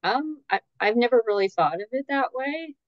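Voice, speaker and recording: A woman answering as an expert guest in a conversational, measured and tentative way, qualifying her points. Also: fairly high pitch, animated, no room sound, muffled recording.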